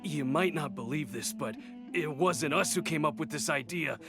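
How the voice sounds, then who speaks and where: A man as a high-pitched, cowardly, pleading thug. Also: normal speed, over loud music, close to an everyday voice. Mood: nervous confessing. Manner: stammering, pleading. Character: cowardly, cornered desert mercenary begging for mercy. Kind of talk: video game voice acting.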